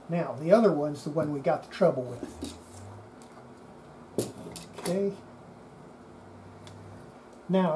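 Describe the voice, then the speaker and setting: A man giving an unscripted hands-on repair demonstration, talking haltingly and half to himself as he works. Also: fairly high pitch. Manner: halting, muttering to himself while working. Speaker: hobbyist restorer presenting a repair. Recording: steady background noise.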